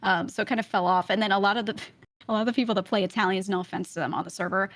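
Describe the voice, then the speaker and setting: A woman's voice: medium pitch, fairly even intonation, very fast, a bit bright, no room sound. Young woman guest, light Brooklyn accent, loose chatty delivery, dry close sound. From Brooklyn, New York. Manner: chatty, laughs often, many "like" and "you know" fillers. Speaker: podcast guest. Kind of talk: casual podcast chat.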